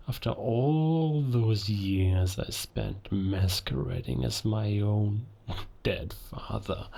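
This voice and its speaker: A man playing a cold, murderous werewolf spy in an audio roleplay, speaking deliberately with long pauses and quiet menace. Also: fairly low pitch, vocal fry, heavily acted. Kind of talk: cinematic audio roleplay monologue.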